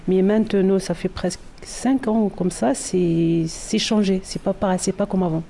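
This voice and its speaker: A woman's voice: mid-range voice, fairly even intonation, normal speed, gravelly, mellow, a little hiss or hum. A woman who grew up between the Netherlands and Morocco, speaking informally in an interview and searching for words. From France, Morocco and the Netherlands. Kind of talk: casual interview talk.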